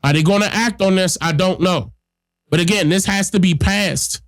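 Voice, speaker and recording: Podcast guy with a stuffed-up nose from a cold, kinda high voice, blunt and straight up, dry close mic. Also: normal speed, dry recording. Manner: blunt and emphatic, talks straight to viewers. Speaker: podcast host. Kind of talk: casual political commentary.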